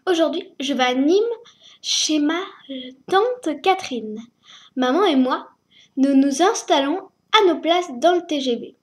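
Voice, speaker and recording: A woman reading a children's story aloud, slowly and hesitantly, stumbling over words. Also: high voice, lively intonation. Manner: slow, hesitant reading with fillers.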